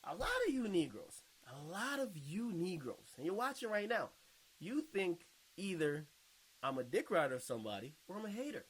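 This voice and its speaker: A man's voice: high voice, sing-song, normal speed, slightly rough, low-quality recording. A man giving casual reaction commentary in a blunt, mocking, slangy way, as if talking straight to his viewers.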